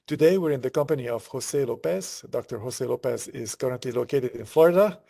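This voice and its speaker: Male interviewer, mid pitch, gravelly creak, halting delivery, conversational hosting. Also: dark tone. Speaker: interview host.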